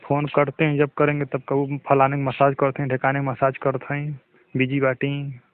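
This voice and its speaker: A man's voice: mid-range voice, monotone, normal speed, clear, resonant tone, dry recording. A man playing a cajoling, rustic young lover in Bhojpuri-accented Hindi, teasing and coaxing in a casual phone chat. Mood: teasing, complaining. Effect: phone line. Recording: narrow tinny phone-call audio.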